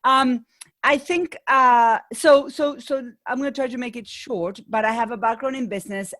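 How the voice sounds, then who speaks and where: A woman's voice: fairly high pitch, normal speed, fairly bright tone. A middle-aged woman with an Argentine accent, a business coach speaking as an interview guest, thoughtful and often restarting her sentences. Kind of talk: conversational interview.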